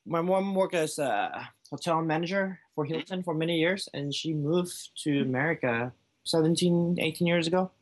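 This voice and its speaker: A man's voice: fairly high pitch, moderate pace. A man in his thirties from Malaysia, a juggler answering interview questions casually with lots of fillers. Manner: easygoing, lots of "like" fillers and restarts. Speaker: juggler, guest.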